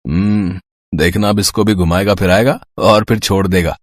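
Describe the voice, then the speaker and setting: A man's voice: deep voice, fairly even intonation, normal speed, no room sound, studio quality. A man voicing a sneering college boy who gossips in slangy talk in a story cartoon. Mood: mocking. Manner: mocking, slangy.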